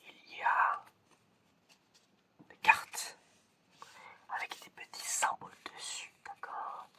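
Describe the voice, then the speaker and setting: Bright, whispered ASMR voice. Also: slow. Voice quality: breathy unvoiced whisper. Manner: hesitant, chatty, playful, repeats phrases. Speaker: ASMR creator playing an examiner giving sense tests.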